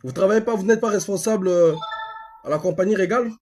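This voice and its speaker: A man from Congo with a Congolese accent and a husky voice, speaking insistently as a live-stream host, repeating phrases and asking rhetorical questions. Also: high voice, quick pace, darkish tone. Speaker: online activist host. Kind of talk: live-stream monologue in colloquial speech, with a phone call.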